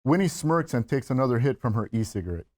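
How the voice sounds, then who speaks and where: A man's voice: mid-range voice, animated, fast talker, darkish tone. Man narrating screenplay stage directions. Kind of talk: scripted narration at a screenplay table read.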